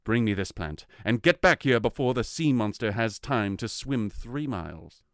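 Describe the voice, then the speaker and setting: A scheming fairy king played by a man in an audio play. He commands with grand, dramatic delivery and wide swings of pitch. His voice sits in the middle of the male range, in a dry recording. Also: expressive, moderate pace, a bit bright, no room sound. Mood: grand, commanding. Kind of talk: theatrical audio drama acting.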